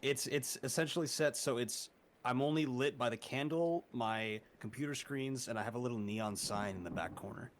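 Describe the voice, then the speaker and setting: A young man chatting casually as an interview guest, relaxed and laughing easily, with frequent swearing. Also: medium pitch, moderate pace, a little hiss or hum. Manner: laid-back, swears often, laughs a lot. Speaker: interview guest, horror narrator.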